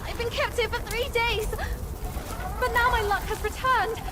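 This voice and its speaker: An actress playing a helpless, pleading young damsel in a video game, melodramatic and beseeching. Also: female voice, very high-pitched, fairly even intonation, moderate pace, background noise. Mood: plaintive, grateful. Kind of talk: video game cutscene acting. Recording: music behind the voice.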